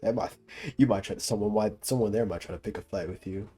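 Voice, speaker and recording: A man's voice: fairly low pitch, quick pace, mellow, slightly rough, faint music behind. A young man giving casual commentary as he plays a video game, slangy, profane and teasing. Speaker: streamer reacting to a video game.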